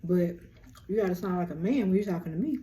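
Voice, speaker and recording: A young woman with a deep voice, hosting a rambling, casual lunchtime chat on her vlog. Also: fast talker, warm tone, slight background noise. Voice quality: low, deep voice. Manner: chatty, rambling, self-described yapper. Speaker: vlog host.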